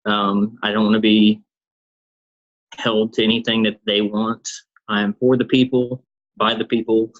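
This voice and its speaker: Low-voiced candidate on a muffled line. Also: male voice, flat delivery, measured pace, pauses often. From Kentucky. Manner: plain, short answers with ums. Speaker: political candidate. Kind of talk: interview answers.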